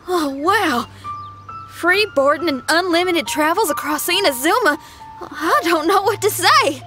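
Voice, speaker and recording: A woman's voice: very high-pitched, lively intonation, unhurried, over music. An actress playing a spirited, friendly young female street musician in a video game, earnest and folksy. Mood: excited.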